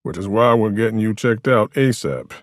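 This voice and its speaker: A man's voice: on the low side, moderate pace, no room sound, close to an everyday voice. A man voicing a stern, sardonic police officer in an audiobook, with a commanding, snappy manner. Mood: reassuring. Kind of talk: audiobook character acting.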